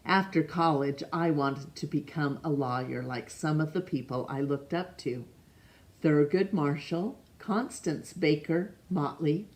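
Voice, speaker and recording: Lady doing storytime, low voice, takes it real slow, asks the kids questions. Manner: stumbles over names and rereads them. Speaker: storytime reader. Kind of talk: reading a picture book aloud to children.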